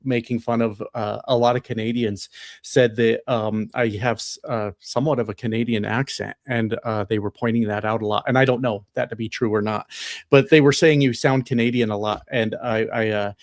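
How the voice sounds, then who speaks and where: A man's voice: medium pitch, steady tone, a little fast, no room sound, clean studio sound. An American man co-hosting a political livestream, talking loosely with lots of swearing, ums and self-mocking laughs. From United States. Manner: loose and chatty, swears freely, laughs at himself, many ums. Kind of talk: casual political chat.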